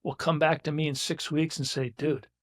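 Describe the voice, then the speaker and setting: A man's voice: on the high side, little pitch movement, moderate pace. A man in his sixties, a business owner talking as a guest in a casual interview, blunt and emphatic, often restarting his sentences. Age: 60s.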